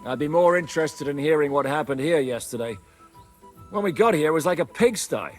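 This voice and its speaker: An actor playing a practical, plain-spoken leader of a medieval band in a naturalistic game cutscene. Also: male voice, fairly high pitch, expressive, brisk, faint music behind. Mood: wry, conversational. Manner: blunt, matter-of-fact.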